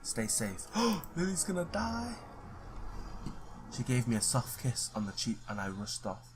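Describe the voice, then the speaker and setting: A man's voice: mid-range voice, big pitch swings, a little slow, music in the background. A man doing a let's play, reading visual novel text aloud, stumbling now and then and blurting his own reactions.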